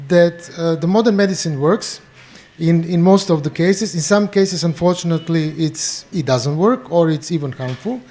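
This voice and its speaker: A man with a Croatian accent introducing himself on a panel, halting, with many fillers and restarts. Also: a little high, a little mellow. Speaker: panel guest, bioenergy therapist.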